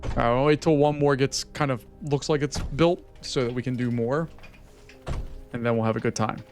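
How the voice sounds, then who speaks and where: Hoarse male gaming host, mid-pitched, chatty play-by-play over soft music. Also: steady tone, moderate pace. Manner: chatty, talks to his game units. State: losing his voice, hoarse. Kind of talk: casual gameplay commentary.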